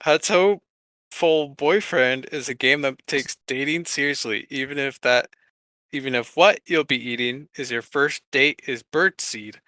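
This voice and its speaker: Bright, clear-voiced man chatting casually. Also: mid-range voice, unhurried, no echo. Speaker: podcast co-host. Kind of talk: casual podcast chat about games.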